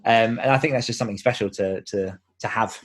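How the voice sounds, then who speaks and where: A man's voice: lowish voice, brisk. A man speaking casually as a podcast guest, an author who explains things in a chatty way with imagined examples. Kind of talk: casual interview conversation.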